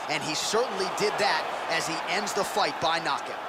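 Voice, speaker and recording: A man calls a fight in a high, projected voice. He is emphatic and excited, with heavy arena noise all around his commentary. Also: a little fast, over a noisy crowd. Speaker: sports commentator. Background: noisy arena.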